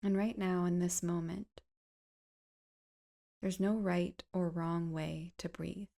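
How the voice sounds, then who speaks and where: A woman leading a guided meditation in a soft, gentle, soothing way, with unhurried phrases that turn breathy at the ends. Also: lowish voice, level tone.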